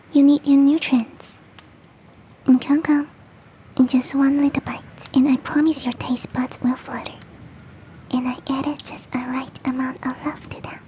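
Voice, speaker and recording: Woman as a high, whispering, sweet yeti girl. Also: a little hiss or hum, rough recording. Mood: doting, coaxing. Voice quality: soft, breathy whispering. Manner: gentle, coaxing, affectionate, self-deprecating. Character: big, sweet, doting female yeti hostess. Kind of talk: whispered ASMR roleplay audio.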